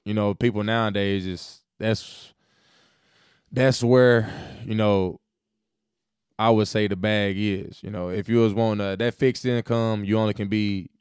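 A young man, hoarse, hosting a loose, rambling, teasing podcast chat. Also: lowish voice, darkish tone, no room sound, clean studio sound. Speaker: podcast host.

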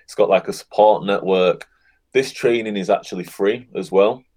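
A man being interviewed as a guest on a podcast, talking in a relaxed, encouraging way. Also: fairly low pitch, moderate pace. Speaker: guest, affiliate marketer. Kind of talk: casual interview chat.

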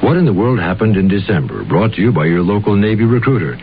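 A man announcing a radio ad, reading facts in a brisk, even way. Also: fairly low pitch. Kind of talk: read radio ad. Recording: tinny phone-like radio sound with faint hiss.

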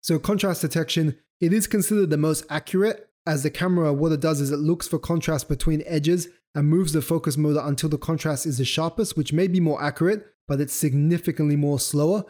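A man's voice: fairly high pitch, brisk, hardly any pauses, mellow. A young man hosting a solo photography podcast, explaining loosely with fillers and self-corrections, his throat sore and his voice starting to go. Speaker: podcast host.

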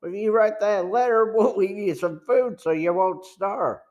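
Man voicing a sour, menacing smuggler boss, pitched up. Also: lively intonation, a little slow, lo-fi sound. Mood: sour, coercive. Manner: threatening, pressing, sneering. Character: sour, menacing male smuggler gang chief. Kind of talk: audiobook villain dialogue.

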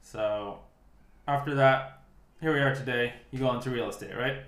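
A man with an American accent hosting a podcast interview, asking short, relaxed follow-up questions. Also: mid-range voice, moderate pace. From United States. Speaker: podcast host. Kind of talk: casual interview. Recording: echoey room.

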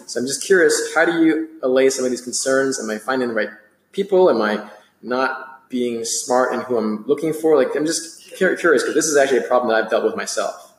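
A man's voice: medium pitch, lively intonation, a little fast. A man hosting a podcast, enthusiastic and asking long, winding questions. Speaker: podcast host. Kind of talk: podcast interview. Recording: roomy echo.

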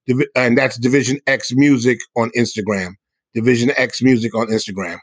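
A man's voice: mid-range voice, fairly even intonation, brisk, breathy edge, no room sound. Earnest man, dry sound. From South Bronx, New York City. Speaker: hip hop musician and guest. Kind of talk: conversational interview answers.

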